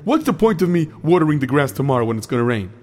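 A cheerful, scatterbrained groundskeeper. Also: male voice, on the high side, sing-song, fast, a little mellow. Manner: cheerful and naive, rambling. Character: scatterbrained grown man camp groundskeeper, cheerful. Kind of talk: children's audio drama.

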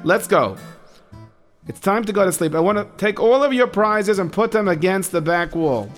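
An actor playing a stern but caring camp counselor who scolds the boys with authority in a children's audio drama. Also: male voice, high voice, moderate pace, soft background music, lightly acted. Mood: firm.

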